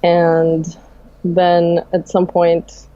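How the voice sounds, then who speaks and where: A woman's voice: lowish voice, drawn-out words, highs cut off. A young woman from Russia, a game designer, talking casually in a podcast interview with fillers and small laughs. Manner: relaxed, soft-spoken, many fillers and laughs.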